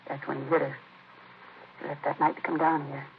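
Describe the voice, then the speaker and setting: An American actress playing a distraught, disapproving, defensive grieving sister in a 1950s radio crime drama, speaking in a hesitant, confiding way. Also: female voice, low-pitched, flat delivery, a little fast, creaky. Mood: agitated, recounting. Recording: muffled, noisy vintage radio recording.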